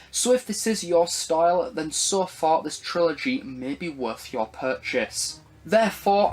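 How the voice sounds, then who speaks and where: A young man with a husky voice, reading a scripted review of an audio drama in formal, measured phrasing. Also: a little high, low-quality recording.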